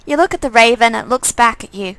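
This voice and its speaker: A woman's voice: fairly high pitch, fairly quick, a bit bright, rough recording. A woman streamer reading a visual novel game aloud, stumbling over lines and re-reading them with asides to herself.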